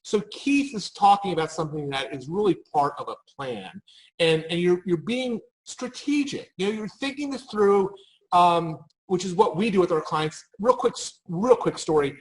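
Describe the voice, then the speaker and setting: A man's voice: high-pitched, lively intonation, moderate pace, slight background noise, low-quality recording. A man speaking off the cuff as a marketing expert in a panel conversation, emphatic and anecdotal, often restarting his phrases.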